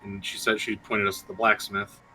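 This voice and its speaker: Bright-voiced hesitant man player. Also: lowish voice, flat delivery, soft background music, poor audio. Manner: hesitant, halting. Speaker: tabletop game player. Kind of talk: playing his character in casual play.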